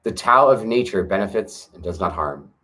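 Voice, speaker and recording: A man's voice: deep voice, expressive, normal speed. Earnest man reading outdoors on a porch. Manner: earnest, gentle, spiritual. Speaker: reader of a classic text. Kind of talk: reading aloud with casual asides.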